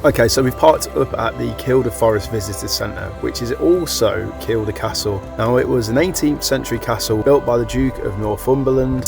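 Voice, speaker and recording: A man's voice: mid-range voice, creaky. A man chatting casually to camera outdoors as a travel vlogger, upbeat and loose with fillers. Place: outdoors on a road trip.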